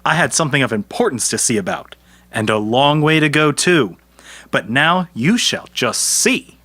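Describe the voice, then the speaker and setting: Actor as a bright-voiced, canny poor peasant man. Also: mid-range voice, animated, normal speed, no echo. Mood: confident, sly. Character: poor, canny, good-natured younger peasant man in a folktale. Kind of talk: audio storybook character acting.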